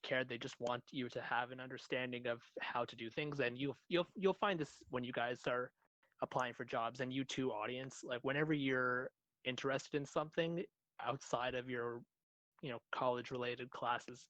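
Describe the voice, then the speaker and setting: A man from Canada co-hosting a podcast, chatting casually in a measured, matter-of-fact way. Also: mid-range voice, steady tone, a bit bright, no echo, rough recording. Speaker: podcast co-host. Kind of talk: casual chat.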